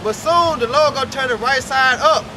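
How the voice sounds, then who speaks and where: A man's voice: very high voice, moderate pace. A man street preaching outdoors in an emphatic, call-and-response manner. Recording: outdoor street recording with traffic and crowd.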